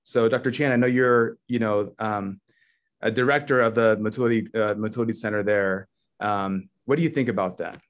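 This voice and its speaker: A man in his thirties moderating a medical webinar over a video call, relaxed and full of "you know.". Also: lowish voice, moderate pace. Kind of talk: casual panel moderation. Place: office at home or work, indoors.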